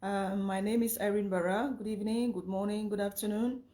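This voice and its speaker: A young woman from Cameroon with a slightly hoarse voice, hosting a casual livestream and greeting viewers by name, often repeating herself. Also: mid-range voice, steady tone, fairly quick, warm tone. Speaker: live chat host.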